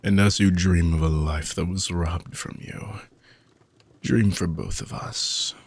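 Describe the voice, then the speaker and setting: A man playing an ancient, weary dark mage villain in a fantasy audio roleplay, husky and gravelly, speaking theatrically with long dramatic pauses. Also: sits low, steady tone, full character voice. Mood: soft, solemn. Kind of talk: fantasy audio roleplay monologue addressed to listener.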